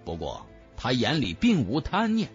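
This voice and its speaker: A man's voice: mid-range voice, wildly swooping pitch, moderate pace, muffled recording, soft background music. A man narrating a fantasy audiobook in a dramatic, scripted storytelling style.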